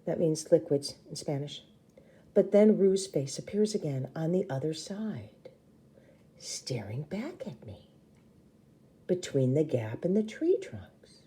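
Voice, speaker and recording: Female read-aloud storyteller, teacherly and emphatic, very warm dark timbre, on the lower side. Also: very animated, takes it slowly, long pauses. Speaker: teacher reading a novel aloud to children. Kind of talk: expressive storybook read-aloud with brief explanations.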